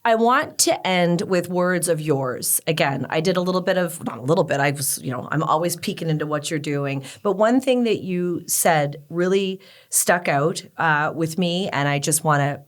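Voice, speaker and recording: A woman hosting an interview podcast, enthusiastic and encouraging, quick to praise her guest. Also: low voice, animated. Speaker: podcast host.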